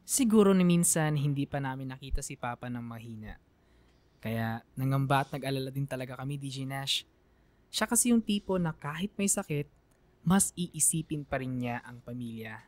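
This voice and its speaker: A woman's voice: rumbling low, normal speed, a little mellow. A woman with a Filipino accent giving an emotive, reflective scripted narration for a radio drama.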